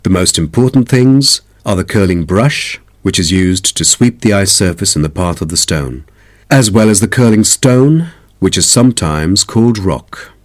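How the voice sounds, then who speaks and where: A man's voice: deep voice, animated, moderate pace. A man playing a polite sports club expert, giving a formal, instructive scripted talk.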